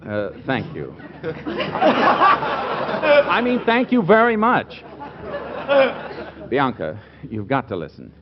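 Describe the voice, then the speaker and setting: An actor playing a nervous, evasive newlywed husband who stammers and hesitates, in a 1940s radio comedy. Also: male voice, a little high, huge pitch swings, extremely slow, long pauses, a loud crowd, full character voice. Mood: flustered pleading. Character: flustered, evasive newlywed husband hiding a secret. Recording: dull, noisy old radio recording.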